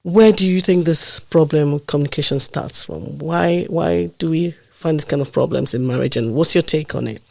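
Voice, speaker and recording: A man hosting a podcast, a bit nasal from a blocked nose, talking in a measured way with many ums and pauses. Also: on the high side, vocal fry, a little mellow, dry recording. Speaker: podcast host. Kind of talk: casual podcast conversation.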